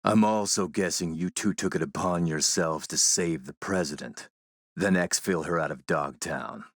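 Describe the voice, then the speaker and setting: A man playing a suave video game warlord, coolly polite and mocking, with a measured, sardonic menace. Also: sits low, no echo, studio quality. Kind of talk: video game villain acting.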